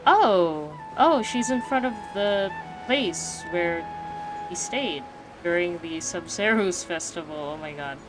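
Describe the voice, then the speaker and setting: A woman's voice: fairly low pitch, animated, slow, over music, poor audio. A young adult woman giving casual, slangy reaction commentary while playing a game. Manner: informal, slangy asides. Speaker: game streamer.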